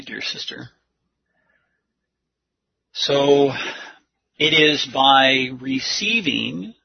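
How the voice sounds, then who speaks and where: A man preaching and teaching a Bible lesson, speaking in deliberate, earnest short phrases with a husky, gravelly voice. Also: mid-range voice, no echo. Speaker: preacher leading a church lesson. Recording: muffled lo-fi audio.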